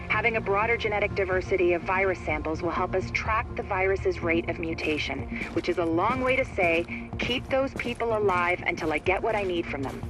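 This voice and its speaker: A woman's voice: mid-range voice, lightly acted, low-quality recording. A woman playing a driven, sardonic virologist in a video game, blunt and impatient, with a wry delivery. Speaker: doctor.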